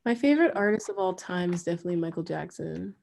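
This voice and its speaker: A woman's voice: on the low side, lively intonation, fast talker, vocal fry, no echo. A woman in her twenties chatting casually with friends on a livestream, laughing and swearing freely. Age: 20s. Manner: loud, laughing, swearing banter. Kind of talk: casual chat among friends.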